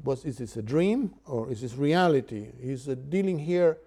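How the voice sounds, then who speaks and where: Man, Cuban accent, lecturer, mellow voice, hesitant, lots of uhs. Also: medium pitch, expressive. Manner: halting, with many filler sounds. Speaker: literature lecturer. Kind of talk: university lecture.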